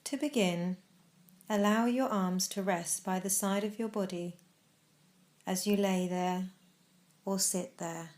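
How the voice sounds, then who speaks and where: A woman narrating a guided meditation as instructor, in a measured, instructional manner with deliberate pauses. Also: mid-range voice, darkish tone.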